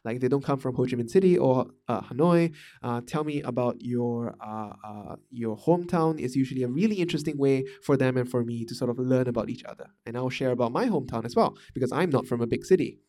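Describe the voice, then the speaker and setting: A man's voice: mid-range voice, fast, mellow. A man from Malaysia talking through management ideas in a casual monologue, thoughtful and full of fillers and restarts. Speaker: podcast host discussing management.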